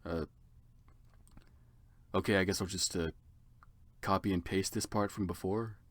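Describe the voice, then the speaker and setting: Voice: low-pitched, fairly even intonation, measured pace, dry recording. A narrator giving a theatrical, mock-serious dramatic reading of a story, with comic asides. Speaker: narrator of a fan fiction reading.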